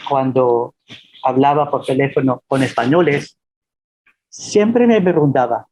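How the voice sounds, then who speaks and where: A man from the Philippines, giving an informal lecture as a professor and telling anecdotes in a halting, self-deprecating way. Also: fairly high pitch, mellow, slightly rough, low-quality recording.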